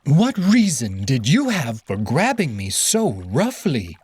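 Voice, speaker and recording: A man's voice: medium pitch, huge pitch swings, slow pace, a little mellow, slightly rough, exaggerated voice. Man putting on a panicky fussy cat courtier. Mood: haughty. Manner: panicky, whiny then haughty.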